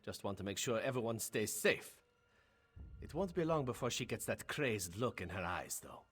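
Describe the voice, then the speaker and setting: An actor playing a blunt, self-possessed vampire in a video game, speaking in a husky, ominous way. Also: male voice, on the low side, expressive, normal speed, a bit bright. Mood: coolly ominous. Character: guarded, self-possessed male vampire, curt and grimly urgent.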